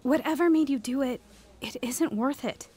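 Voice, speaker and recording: A woman's voice: sits high, moderate pace, a little mellow, lightly acted. A woman playing a concerned, direct young sister in a radio drama.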